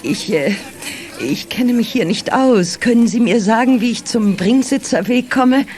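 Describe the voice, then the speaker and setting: An elderly grandmother in a children's radio drama. She is fussily polite and hesitant, stammering as she goes. Her pitch swoops up and down freely, over faint background noise. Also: lively intonation, unhurried, darkish tone. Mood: fussy, polite. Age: older. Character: polite, flustered elderly grandmother returning a lost cat. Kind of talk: German children's radio play acting.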